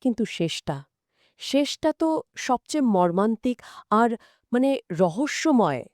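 A woman's voice: a little high, big pitch swings, unhurried, a little mellow, dry recording, studio quality. A woman co-hosting a conversational story discussion, retelling events dramatically and asking inquisitive questions. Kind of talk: scripted-sounding conversational storytelling.

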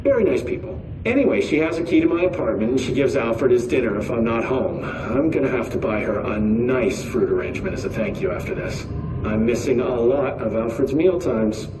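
An actor playing a chatty, awkward, nervous security officer in a video game, rambling and correcting himself over an intercom. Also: male voice, medium pitch, expressive, fairly quick, distant mic. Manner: rambling, self-correcting, earnest. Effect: intercom speaker. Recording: echoey intercom, noisy game ambience.

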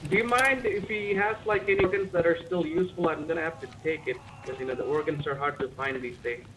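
Higher-pitched man over noisy game voice chat. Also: fast talker, a bit bright. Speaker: roleplay gamer playing a medic. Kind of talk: casual in-character roleplay chat. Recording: clear background noise on game voice chat.

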